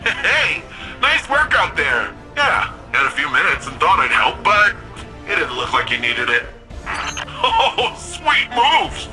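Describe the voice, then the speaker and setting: Game casting: playful young city guardian, slangy, cocky, very bright higher voice, highly animated. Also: male voice, takes it slowly, soft background music, exaggerated voice, rough recording. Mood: excited, friendly. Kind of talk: video game radio dialogue.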